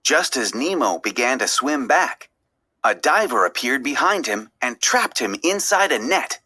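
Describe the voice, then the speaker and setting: A woman reading a children's story aloud as an expressive, dramatic narrator. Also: very deep voice, unhurried, slightly rough. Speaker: storybook reader.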